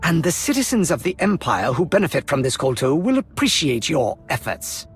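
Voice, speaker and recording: A stern Imperial colonel from a sci-fi game, with a high voice that moves in wide, lively swings. He speaks formally and in clipped military phrases, over background music. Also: moderate pace, breathy edge, exaggerated voice. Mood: measured. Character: brisk, officious male Imperial colonel, dutiful and stern. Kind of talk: video game cutscene acting. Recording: music behind the voice.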